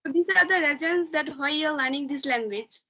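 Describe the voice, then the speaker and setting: A woman's voice: high-pitched, fairly quick, sharp tone. A young woman from Bangladesh, a Bangla speaker with a Bangladeshi accent, asking short polite questions.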